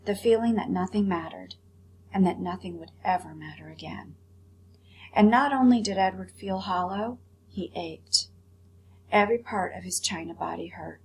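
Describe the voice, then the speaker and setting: A woman's voice: medium pitch, normal speed, poor audio. Woman teacher reading aloud over background hum. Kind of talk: expressive storybook read-aloud with commentary for pupils. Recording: clear background hum and noise.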